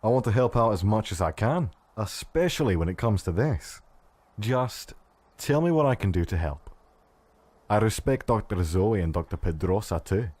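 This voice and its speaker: Audio drama medical researcher, male actor: deep, animated, irreverent, hesitant yet eager. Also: normal speed, no room sound. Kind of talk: fan-made audio drama acting.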